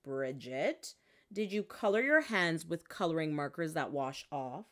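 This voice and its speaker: Woman acting an excitable storybook mother, very animated. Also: sits low, measured pace, pitched below the performer's own voice. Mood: suspicious. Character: excitable, easily alarmed mother of a young girl.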